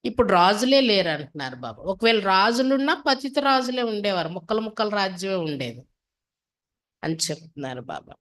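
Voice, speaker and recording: A woman's voice: mid-range voice, big pitch swings, a little fast, a bit bright. A woman teaching a spiritual class in a didactic way, posing questions and repeating refrains. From India. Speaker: spiritual teacher reading and explaining a daily discourse. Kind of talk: devotional sermon to a class.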